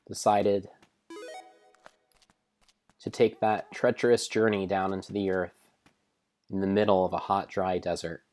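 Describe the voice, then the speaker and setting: A man reading mock-dramatic storybook narration of a game, in a theatrical, solemn way with deliberate pauses. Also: deep voice, little pitch movement, darkish tone, soft background music. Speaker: live streamer narrating a game.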